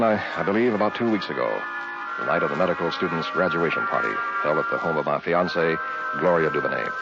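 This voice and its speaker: An actor playing a troubled young medical student in a vintage radio horror drama, brooding and dramatic. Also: male voice, low-pitched. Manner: brooding, dramatic first-person telling. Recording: dull vintage radio recording, music behind.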